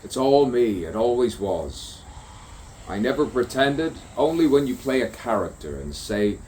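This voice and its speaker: A man's voice: medium pitch, sing-song, a little slow, darkish tone, slight background noise, low-quality recording. A man delivering a stream-of-consciousness spoken poem, musing and repeating rhyming phrases. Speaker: poet.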